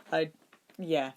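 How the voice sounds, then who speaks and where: A woman's voice: low-pitched, darkish tone, slight background noise. A woman narrating fan fiction as a podfic reader.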